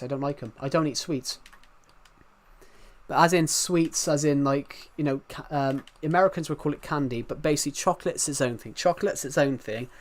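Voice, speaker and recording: A young man from the UK with a thick regional British accent, chatting casually and rambling with his live stream viewers. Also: mid-range voice. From United Kingdom. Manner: rambling, many restarts, chatty and candid.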